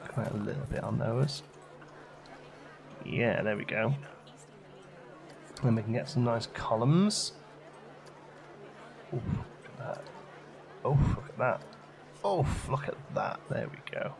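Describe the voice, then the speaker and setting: A man's voice: medium pitch, lively intonation, slow pace, creaky, long pauses, background noise. A man giving casual gameplay commentary, chatting and musing aloud as he questions his own choices, as a let's play host.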